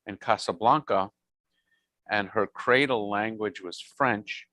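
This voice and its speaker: A man's voice: lowish voice, slow pace, sharp tone, no room sound. A man in his sixties who leads services, chatting casually and telling rambling stories with frequent self-corrections. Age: 60s. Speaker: service leader. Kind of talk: casual chat. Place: synagogue service.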